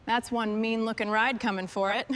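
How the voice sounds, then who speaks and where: A woman's voice: a little high, steady tone, normal speed, fairly bright tone, slight background noise. A woman leading a workshop team, giving short, encouraging instructions and comments as the work goes. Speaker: team boss in a truck restoration workshop.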